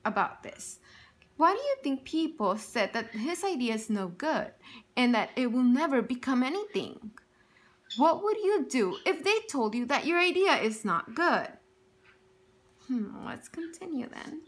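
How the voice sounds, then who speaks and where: A woman teacher reading a picture book aloud to children, telling it expressively and stopping to ask them questions. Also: a little high. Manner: expressive storytelling with questions for young listeners.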